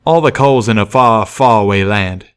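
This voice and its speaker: A man voicing an affable rustic train-worker pony who explains things in a simple, folksy, drawn-out way for an audiobook. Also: fairly low pitch, clear, resonant tone, lightly acted. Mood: matter-of-fact. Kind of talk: audiobook character voice.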